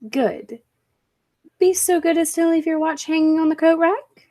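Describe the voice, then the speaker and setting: A woman playing a confident, eccentric professor and inventor in a 1940s-style radio play, speaking in an assured, deliberate way. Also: very high-pitched, steady tone, mellow. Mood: brisk, commanding.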